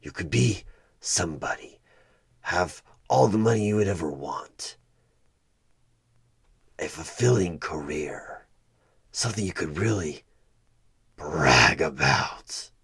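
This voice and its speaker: Evil demon job interviewer for horror asmr, super raspy breathy half whisper, slow n coaxing, creepy calm. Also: male voice, extremely low pitch, full character voice. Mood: coaxing, tempting. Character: sinister demonic tempter, male, posing as job interviewer. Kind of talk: horror ASMR one-sided audio roleplay.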